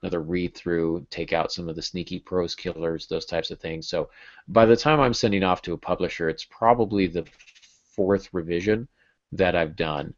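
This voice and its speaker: A middle-aged American man with a very low voice talks in an easygoing, joking podcast style. The sound is dry and a little dull, as on a video call through an earbud headset. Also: normal speed, no room sound. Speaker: podcast host and novelist. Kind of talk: casual conversational podcast chat. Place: small home room with bookshelf.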